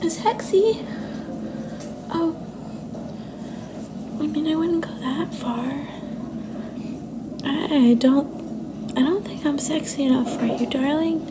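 A woman playing a sweet, insecure young girlfriend in a soft-spoken ASMR roleplay, hesitant and coy, often slipping into a breathy whisper. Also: sits high, slow, pauses often. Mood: shy, self-doubting. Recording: noticeable background noise and ambience.

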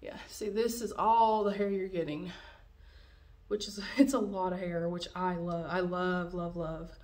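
A woman's voice: lowish voice, little pitch movement, a little slow, a little mellow. A young woman with a cold, a little nasal, chatting through a wig review at home with lots of fillers, recorded on a camera in front of her. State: has a cold, slightly nasal. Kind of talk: casual product review vlog. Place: dim room at home, indoors.

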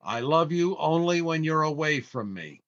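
A man's voice: fairly high pitch, lively intonation, normal speed, a bit bright. An older man playing a long-suffering, scolding family man in an old-time radio comedy, theatrical and exasperated. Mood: grudging. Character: exasperated, long-suffering grumbling husband and father. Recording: slightly dull online recording.

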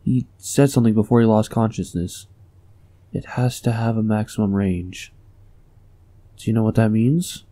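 A man's voice: on the low side, steady tone, moderate pace, long pauses, dark tone, close to an everyday voice. A young man acting an earnest, anxious, determined investigator in a comic dub. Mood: urgent concern. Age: young adult.

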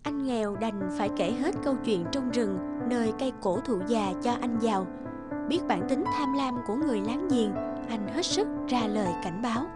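A woman giving scripted storybook narration for an animated fairy tale. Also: on the high side, moderate pace. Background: music.

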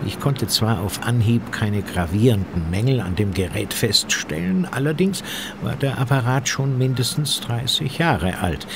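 A radio-play fire investigator, explains in a precise, pedantic manner. His mid-pitched voice moves with animated intonation, over clearly audible background noise. He sounds sure of his expertise. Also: measured pace. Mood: matter-of-fact, instructive. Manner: precise, lecturing, technical. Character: male fire investigator, factual, pedantic, self-assured expert. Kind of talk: German audio drama acting.

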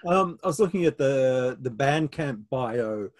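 A man's voice: medium pitch, animated, measured pace. A male music journalist asking brief, hesitant questions over a video call from his home office. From Australia.

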